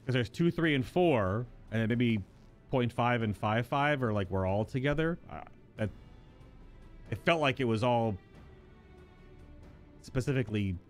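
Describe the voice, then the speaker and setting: Casting: male game streamer, mid-pitched, chatty and speculative, casual live reactions with music underneath. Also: animated, measured pace, pauses often. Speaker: streamer reacting to and reading a game's story quests aloud. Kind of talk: casual live-stream commentary mixed with reading dialogue aloud.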